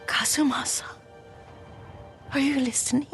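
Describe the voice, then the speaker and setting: An actress playing a frail, devoted elderly Japanese woman servant in a game cutscene, speaking softly and breathily, gently and haltingly. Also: sits high, fairly even intonation, soft background music. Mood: tender, wistful. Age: older. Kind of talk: dramatic video game cutscene acting.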